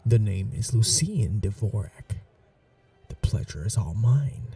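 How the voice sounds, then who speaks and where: A man voicing an ancient royal vampire lord in an ASMR roleplay, suave and flirtatious, speaking slowly with low breathy whispers. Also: expressive, recorded from a distance, soft background music, heavily acted. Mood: seductive. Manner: slow, seductive, softly whispering.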